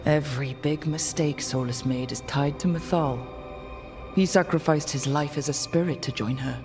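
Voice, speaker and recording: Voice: mid-range voice, moderate pace, over music, natural voice. An actor playing an earnest, determined adventurer hero in naturalistic video game dialogue.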